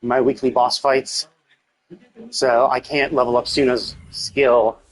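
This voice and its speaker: A man's voice: medium pitch, level tone, slow pace, rough recording. A man thinking aloud in a rambling, casual way as he streams a video game. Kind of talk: casual livestream gameplay chat. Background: music.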